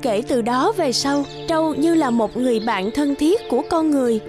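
A woman reading scripted narration for a children's animated fairy tale. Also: high voice, normal speed. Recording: loud music behind the voice.

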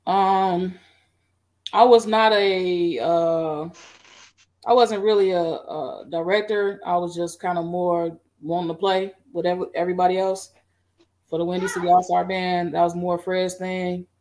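A middle-aged woman on a video call, recounting her band history in a relaxed, rambling way with many fillers. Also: on the low side, a little slow. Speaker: guest band musician. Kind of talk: casual interview. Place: home room.

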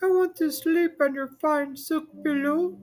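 Squeaky pleading little frog guy, woman doing the voice, talks real slow and high. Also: steady tone, a little mellow. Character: small ugly male frog, pleading and persistent.

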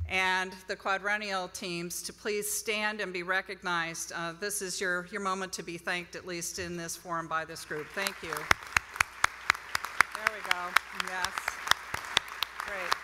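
A woman's voice: mid-range voice, background noise. A middle-aged woman giving an informal conference presentation as an institute director at a podium microphone in a ballroom. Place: large hotel ballroom, on stage. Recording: reverberant hall PA sound.

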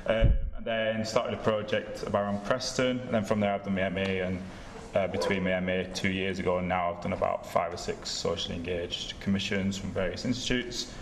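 A man's voice: deep voice, flat delivery, a little fast, hardly any pauses. A man, a photographer, talking informally on a panel in a gallery, recorded from a distance. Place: large gallery room with seated audience. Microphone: distant camera microphone. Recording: echoey noisy room from far off.

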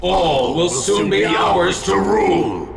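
An actor playing a grandiose robot villain in a video game, gloating in a declamatory way. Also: male voice, high voice, animated, slow pace, vocal fry, over a noisy crowd, full character voice. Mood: gloating, triumphant. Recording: echoey, distant, music behind.

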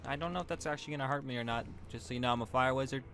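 A man's voice: mid-range voice, very fast, lightly acted. A man playing a rash young fire wizard student, stammering and babbling in an improvised game roleplay. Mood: nervous.

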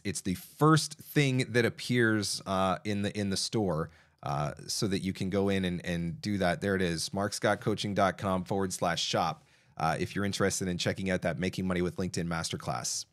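Casual man coaching from home studio. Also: low voice. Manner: conversational, answers chat questions with frequent fillers and restarts. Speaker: voiceover coach hosting a live Q&A. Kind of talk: casual advice livestream. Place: small home studio, indoors. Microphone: broadcast mic on arm, close.

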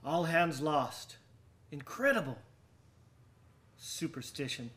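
Uneasy, slow-spoken man. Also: fairly high pitch, big pitch swings, fairly bright tone, full character voice. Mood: brooding. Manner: halting, muttering self-reassurance. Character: uneasy, brooding man haunted by shipwreck tales. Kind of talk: radio drama acting.

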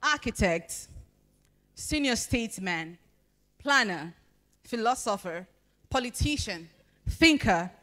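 A young Nigerian woman with a Nigerian accent performing spoken word poetry at a podium, with measured, expressive pacing and deliberate pauses. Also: on the high side. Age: young adult. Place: large banquet hall at a podium. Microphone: handheld microphone.

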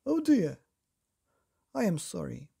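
A man's voice: sits high, huge pitch swings, slow, vocal fry, dark tone, breathy edge, pitched above the performer's own voice, exaggerated voice. A man voicing a sly, plotting house painter in a storybook, with a conspiratorial manner. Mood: mock apologetic.

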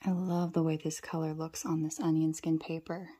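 A woman casually narrating as she journals, talking in an unhurried, musing way. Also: sits low, level tone, mellow, slightly rough. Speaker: stationery vlogger.

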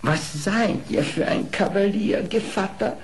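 Vain, effusive baboon mother male actor, high voice, animal fable radio play. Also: measured pace. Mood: gushing. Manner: effusive, flattering.

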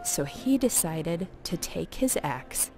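A woman narrating a folk tale as a storyteller, in a measured and expressive storybook delivery. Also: fairly low pitch, over music.

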